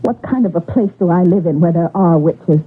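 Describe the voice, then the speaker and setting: Fearful, demanding wife, tinny radio. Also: female voice, lowish voice, a little fast, breathy edge. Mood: anxious, demanding. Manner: insistent, complaining. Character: fearful, demanding lady of an estate. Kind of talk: radio mystery drama acting. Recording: noisy, tinny old radio recording.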